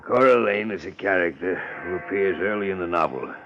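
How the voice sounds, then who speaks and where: A courteous, bookish fellow in an old radio mystery. His voice is notably deep, his phrasing formal and deferential. The recording sounds muffled. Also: fairly quick, a bit bright. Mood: polite, explanatory. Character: polite, deferential, bookish male literary associate. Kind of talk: 1950s radio detective drama acting.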